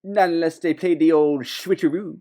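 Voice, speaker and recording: A man's voice: fairly high pitch, fairly even intonation, normal speed, dark tone, natural voice. A man playing a sarcastic, competitive detective's partner in an audio drama, with a teasing manner. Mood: needling. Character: snarky, competitive male detective sidekick.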